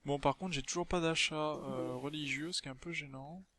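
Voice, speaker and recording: A man's voice: a little high, steady tone, a little fast, bright, forceful tone. A man with a southern French accent, hosting a casual multiplayer game commentary with frequent hesitations. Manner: hesitant, many filler sounds. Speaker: let's play host.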